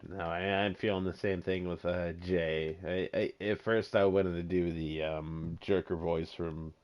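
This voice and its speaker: Teasing American man, muffled low-fi voice. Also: steady tone, unhurried. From United States. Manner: teasing, prodding. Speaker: podcast co-host. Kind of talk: casual chat.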